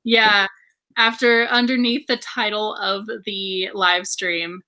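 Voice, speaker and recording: Bright, upbeat woman co-hosting a livestream. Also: mid-range voice, little pitch movement, takes it slowly, rough recording. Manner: upbeat, giggly, says "awesome" a lot. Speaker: book club livestream co-host. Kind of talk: casual chat.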